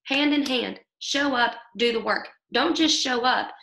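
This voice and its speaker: A young woman with a hoarse voice from illness, leading her team in an upbeat motivational talk over a video call, often checking in with "okay?". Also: fairly high pitch, measured pace, sharp tone. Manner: upbeat, coaching, keeps asking "okay?". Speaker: team leader. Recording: echoey room.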